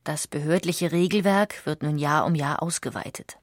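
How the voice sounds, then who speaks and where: Woman reading a history documentary script, lowish voice, really dry studio sound. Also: normal speed, dry recording. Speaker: audiobook narrator.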